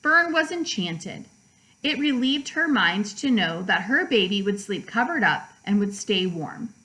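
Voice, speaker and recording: A woman narrating a children's book aloud like a teacher, with measured, deliberate phrasing for young listeners. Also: mid-range voice, expressive, sharp tone, poor audio. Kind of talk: storybook read-aloud narration.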